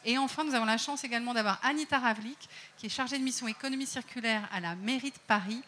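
A woman's voice: on the high side, quick pace, pushed and bright, a little hiss or hum. A woman giving a formal welcome speech at a conference and introducing the speakers, with some hesitant fillers. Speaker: event organizer.

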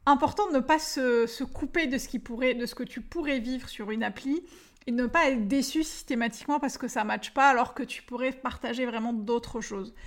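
A woman in her thirties from France hosting a solo podcast as a dating coach, talking eagerly to the listener with many fillers and restarts. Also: on the high side. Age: 30s.

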